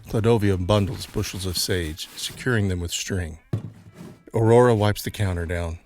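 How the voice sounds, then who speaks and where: A man's voice: sits low, normal speed, a little mellow, slight background noise. A man giving scripted narration for an audio drama, setting each scene as the narrator.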